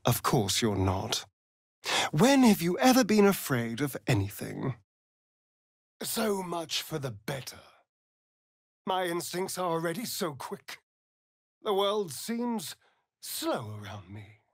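Sly vampire rogue, British accent, vain and catty, melodramatic swooping delivery, unhurried drawl, dry studio sound, fantasy game. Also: male voice, a little high, very animated, husky, no room sound, heavily acted. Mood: wistful, intimate. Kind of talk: dramatic video game acting.

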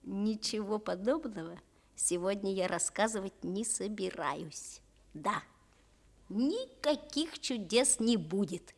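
A woman playing a dreamy, evasive schoolboy of about ten in a Soviet radio play, expressive and pleading as they tell the story. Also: mid-range voice, takes it slowly. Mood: storytelling.

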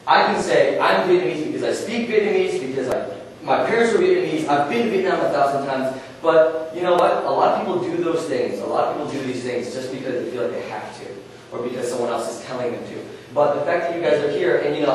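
A young man presenting a workshop in a very echoey conference room, heard from a distance off the mic. He talks earnestly and casually, asking the audience question after question. A faint hiss sits under his voice. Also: fairly high pitch. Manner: earnest, questioning, conversational with fillers.

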